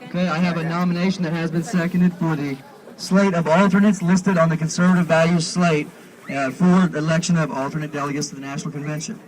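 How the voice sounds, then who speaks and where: A man speaking as a meeting chair outdoors, in formal procedural language with a deliberate, halting delivery. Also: on the high side, steady tone, poor audio. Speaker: convention chair running a meeting. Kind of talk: formal parliamentary procedure, announcing to an assembly. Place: outdoors at a parking lot gathering.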